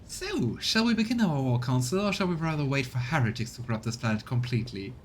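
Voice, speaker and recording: A man's voice: big pitch swings, fast talker, lightly acted. Man putting on a haughty armored female agent. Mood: haughty, mocking. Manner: haughty, formal, condescending. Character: arrogant female inquisition agent in power armor. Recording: rumbly background noise.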